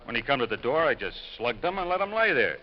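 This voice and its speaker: A male actor voices a rough small-time crook in an old radio crime drama. He is mid-pitched, blunt and defensive, with slangy grammar. The recording is dull and faintly noisy. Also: very animated, fast talker, close to an everyday voice. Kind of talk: 1950s radio detective drama acting.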